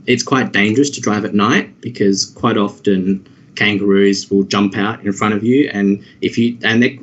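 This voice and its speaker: An Australian man answering questions in casual conversation, rambling and hesitant with many fillers and restarts. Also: fairly low pitch. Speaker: interviewee. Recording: dull lo-fi audio.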